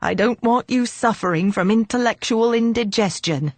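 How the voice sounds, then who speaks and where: A woman reading a storybook voices a frail, grumpy old man who is gruff but kindly and speaks in a creaky, growling way. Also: on the high side, normal speed, dry recording, close to an everyday voice. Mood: grumbling. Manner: grumbling, gruff.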